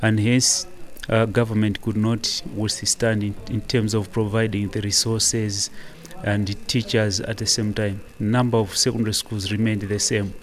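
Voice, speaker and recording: A man's voice: fairly low pitch, flat delivery, normal speed. A young man with a Malawian accent, giving an interview statement as a youth delegate.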